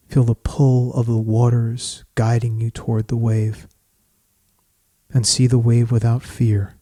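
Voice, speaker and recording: Male meditation guide: very slow, even delivery, soothing and solemn, with long pauses. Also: mid-range voice, no echo. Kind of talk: guided meditation, scripted poetic narration.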